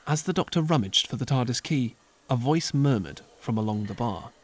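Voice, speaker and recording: A man narrating an audio drama in an expressive, lively storytelling style. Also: mid-range voice, moderate pace.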